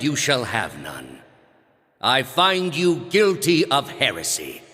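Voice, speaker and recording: Low voiced older zealot priest from a video game, calm, grave, formal, preachy and in command. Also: male voice. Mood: cold, judging. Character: solemn, pious male high priest, calm and commanding zealot. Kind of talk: dramatic video game character dialogue.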